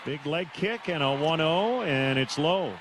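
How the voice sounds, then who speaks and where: An American man calling live baseball on the radio in short, clipped phrases with pauses. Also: fairly high pitch, lively intonation, a crowd in the background. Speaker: baseball play-by-play announcer. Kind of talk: live radio play-by-play commentary. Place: stadium broadcast booth. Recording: noisy live ballpark broadcast.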